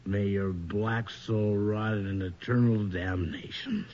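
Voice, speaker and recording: An actor playing a bitter, drunken manservant in a theatrical radio horror drama, muttering to himself. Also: male voice, fairly low pitch, fairly even intonation, slow. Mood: bitter, gloating. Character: bitter drunken manservant, resentful, then terror-stricken by a ghost. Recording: muffled radio drama recording, faint noise.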